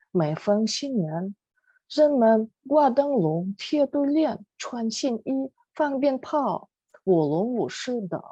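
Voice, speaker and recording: A woman teacher reads a legend aloud, slowly and with a very animated melody. Her voice is fairly high and mellow, with a breathy edge. She phrases each part deliberately. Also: dry recording. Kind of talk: reading a children's legend aloud.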